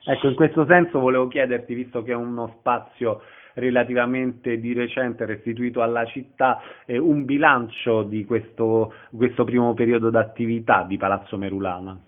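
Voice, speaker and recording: A man's voice: mid-range voice, fairly even intonation, moderate pace. A man hosting a radio interview. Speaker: radio host interviewing guests. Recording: narrow phone-like radio broadcast sound.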